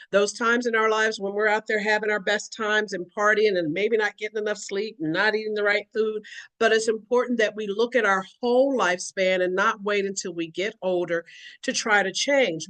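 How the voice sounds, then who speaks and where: A woman's voice: mid-range voice, a bit bright, rough recording. Woman, educator, earnest explanatory talk, acts out examples.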